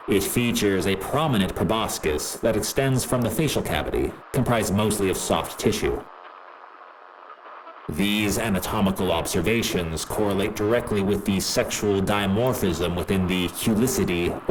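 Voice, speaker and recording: A man's voice: deep voice, background noise, natural voice. A man playing a clinical, pedantic male scientist dictating a detached, precise log in an audio drama. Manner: detached, precise, jargon-heavy.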